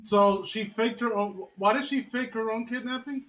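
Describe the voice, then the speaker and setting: A man's voice: high voice, moderate pace, a bit bright, low-quality recording. A man co-hosting a casual chat in a blunt, incredulous way with plenty of swearing. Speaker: podcast co-host.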